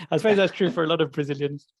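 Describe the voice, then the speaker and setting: A man's voice: fairly high pitch, quick pace, no echo. A man co-hosting a podcast who asks curious, light-hearted questions over a video call. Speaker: podcast co-host. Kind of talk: podcast interview.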